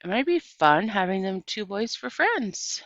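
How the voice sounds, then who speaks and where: A woman reading the part of a kindly, wise old woman with a gentle, folksy, coaxing manner in a storybook. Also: medium pitch, big pitch swings, measured pace, dry recording, highs cut off, pitched above the performer's own voice. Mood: gently coaxing.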